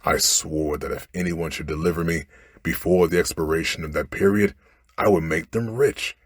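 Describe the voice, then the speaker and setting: A menacing storybook genie, played by a man, speaks low and very husky. He makes stern, commanding pronouncements in archaic language, unhurried and close in a dry recording. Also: steady tone, dry recording. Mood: bitter, vengeful. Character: stern, ungrateful, menacing genie freed from long imprisonment. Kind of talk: storybook character voice.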